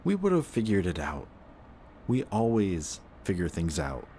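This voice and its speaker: A man playing a wounded, protective antihero who insists he is a villain, in an emotional, intimate audio roleplay with a halting delivery. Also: sits low, huge pitch swings, mellow, slight background noise, exaggerated voice. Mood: exasperated. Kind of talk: emotional audio roleplay acting, addressing the listener.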